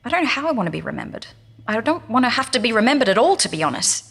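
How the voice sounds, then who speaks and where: Husky, defiant captive in reverb. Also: female voice, fairly high pitch, big pitch swings, racing, a bit bright, exaggerated voice. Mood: resigned, defiant. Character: defiant, sardonic captive young woman, scared but tough. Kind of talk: audio drama acting. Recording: long hollow reverb.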